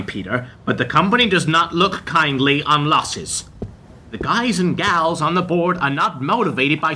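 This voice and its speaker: A man plays a hard-nosed male bank executive with a bright, forceful edge to his voice. He talks in brisk, clipped, unapologetic sentences, with lively rises and falls in pitch, in the manner of a community radio play. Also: a little hiss or hum, heavily acted. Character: cold blunt male corporate banker. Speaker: bank executive delivering bad news. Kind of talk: amateur radio play acting.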